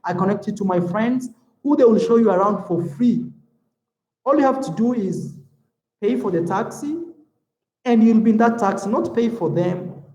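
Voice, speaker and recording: A man from Africa, with a high, mellow voice, chats in a relaxed, easygoing way on a livestream. He answers viewer comments and drops "man" and "bro" into his talk. The sound bounces around a roomy, echoey space. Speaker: YouTube vlogger. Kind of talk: casual livestream chat, reading viewer comments.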